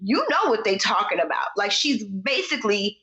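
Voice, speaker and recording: A woman co-hosting a casual TV recap, speaking in an emphatic, expressive, slangy way. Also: mid-range voice, moderate pace, muffled recording. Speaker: podcast co-host.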